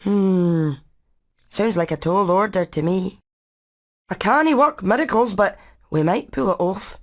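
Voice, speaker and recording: Game casting: Scottish female hen engineer played by a woman, medium pitch, clever, matter-of-fact, precise about technical plans. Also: measured pace, lightly acted. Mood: practical. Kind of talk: animated video game acting.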